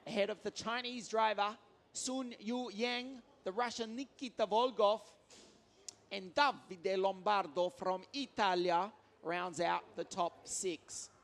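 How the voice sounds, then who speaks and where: A man's voice: very high voice, fairly bright tone. A man giving live motorsport commentary from trackside, rattling off names and results with enthusiasm. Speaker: race commentator. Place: trackside at a karting circuit in southern Italy.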